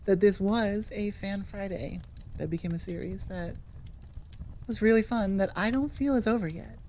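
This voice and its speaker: Mellow, rambling woman chatting casually. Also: medium pitch, normal speed, slight background noise. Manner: rambling, self-correcting, playful. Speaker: ASMR creator, channel host. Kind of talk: casual chat outro to listeners.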